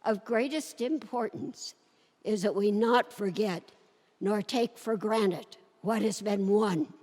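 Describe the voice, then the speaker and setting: Slow-paced woman in an echoing hall. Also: mid-range voice, a little mellow. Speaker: presenter introducing a readers theater performance. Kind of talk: scripted introduction read aloud. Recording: echoey hall with long reverb.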